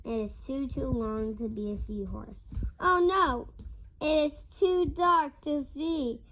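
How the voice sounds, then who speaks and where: A young girl reading a picture book aloud in a halting, word-by-word way. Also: high-pitched, fairly bright tone, rough recording. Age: child. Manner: halting, word-by-word early-reader delivery. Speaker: young reader.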